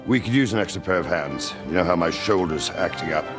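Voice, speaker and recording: An older captain of the guard in a medieval video game. He speaks low and dryly, deferring to his master, with music clearly playing underneath. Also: animated, quick pace. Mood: deferential. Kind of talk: video game dialogue acting.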